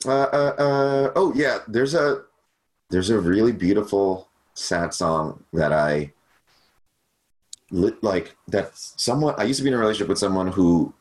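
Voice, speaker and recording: A man's voice: lowish voice, unhurried, a little mellow. A young man chatting casually over a video call as a podcast guest, rambling and self-deprecating with lots of fillers. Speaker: podcast guest, cover singer.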